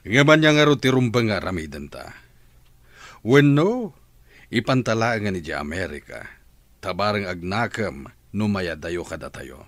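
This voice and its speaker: A man's voice: lowish voice, sing-song, normal speed, long pauses, fairly bright tone, dry recording. A man playing a stern, exasperated middle-aged father in a Filipino radio drama.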